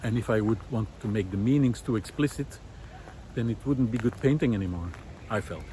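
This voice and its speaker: A man's voice: on the low side, fairly quick, warm tone, poor audio. Thoughtful man musing over background noise. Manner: thoughtful, hesitant, searching for words. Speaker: visual artist turned ecology project host. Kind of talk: unscripted reflective monologue.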